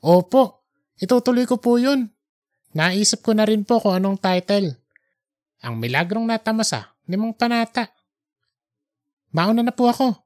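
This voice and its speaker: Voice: medium pitch, expressive, measured pace, pauses often, a little mellow, voice pushed up, close to an everyday voice. A narrator voicing a respectful, reassuring young man in an audiobook story, polite and earnest. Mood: sheepish, eager. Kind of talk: audiobook character dialogue.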